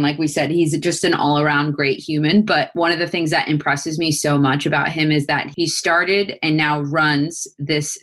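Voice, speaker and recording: A woman's voice: deep voice, a bit bright. A woman co-hosting a podcast, chatting casually and enthusiastically with a guest. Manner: enthusiastic, laughs often. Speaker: podcast co-host.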